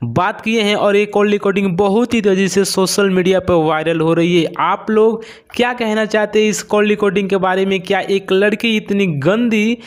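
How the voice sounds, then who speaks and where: Very high-pitched Indian male presenter. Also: fairly even intonation, normal speed, hardly any pauses. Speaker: online video presenter. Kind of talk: presenting gossip news to viewers with rhetorical questions.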